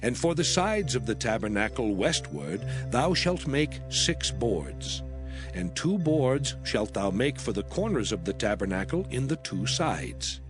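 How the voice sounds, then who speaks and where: A man narrating a dramatized scripture reading in a solemn, measured, formal manner. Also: medium pitch, very animated. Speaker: audio Bible narrator. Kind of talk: dramatized scripture reading from the King James Bible. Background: background music.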